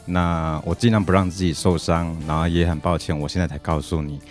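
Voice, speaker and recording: A man's voice: deep voice, level tone, fairly quick, soft background music. A middle-aged man with a Taiwanese Mandarin accent, talking casually and earnestly as a guest on a radio show.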